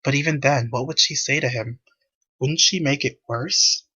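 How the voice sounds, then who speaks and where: A man's voice: medium pitch, moderate pace, sharp tone, breathy edge, no echo, muffled recording. A man reading scripted story narration as the narrator of a visual novel. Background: music.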